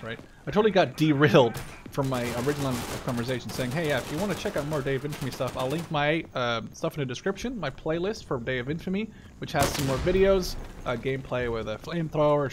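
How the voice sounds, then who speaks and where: A man's voice: mid-range voice, background noise. A man giving casual, rambling gameplay commentary as a gaming YouTuber, drifting easily off track. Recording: loud gunfire and artillery behind the voice. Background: shooter game gunfire, explosions.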